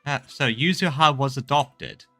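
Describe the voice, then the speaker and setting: A man playing through a game, reading dialogue aloud and reacting in halting mutters to himself. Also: medium pitch, a bit bright, soft background music.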